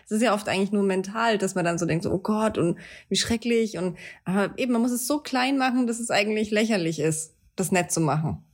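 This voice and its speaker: A woman's voice: mid-range voice, fast. A woman with a Saxon German accent co-hosting a casual podcast chat, teasing and playful. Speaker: podcast co-host.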